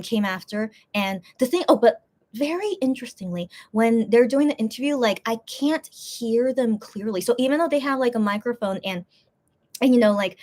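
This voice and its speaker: Woman streamer, slightly high voice, casual rambling storyteller reading chat. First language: English. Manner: rambling, many restarts and fillers.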